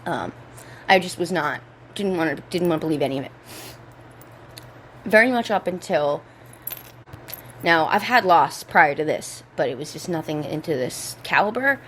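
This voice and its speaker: A young woman with a low voice, still hoarse from a cold. She rambles in a relaxed, easygoing way and swears freely. Faint noise hums behind her. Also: normal speed, pauses often. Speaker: podcast host. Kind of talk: casual chat. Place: indoors at home.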